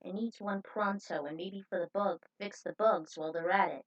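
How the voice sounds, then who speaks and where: A woman's voice: medium pitch, fairly even intonation, normal speed. A woman casually narrating fan fiction aloud as a host, in an informal, chatty way, on a muffled recording. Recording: dull lo-fi recording.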